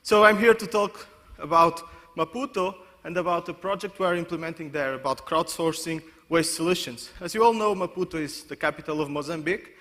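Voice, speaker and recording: A man's voice: on the high side, pushed and bright. A man from Portugal giving a conference presentation, often restarting and correcting himself mid-sentence. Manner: halting, many restarts and self-corrections. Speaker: conference speaker. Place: conference hall. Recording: long hall reverb.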